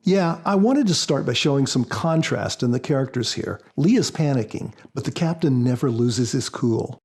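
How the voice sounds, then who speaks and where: A man speaking as a guest author in a podcast interview, explaining in a measured, prepared style. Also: medium pitch, animated, a little mellow, slightly rough.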